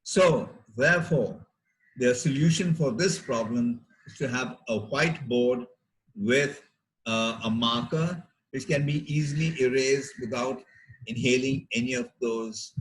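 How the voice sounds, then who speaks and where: A middle-aged man with an Indian accent delivers a health lecture as a doctor. His pitch is average and his pace is slow. He explains things in measured phrases, pausing deliberately between them. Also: low-quality recording. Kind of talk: health talk to teachers.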